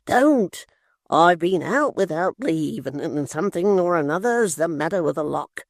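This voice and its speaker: A woman's voice: lowish voice, animated, unhurried, no room sound, clean studio sound, voice pushed up. Woman playing a vain young apprentice. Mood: urgent.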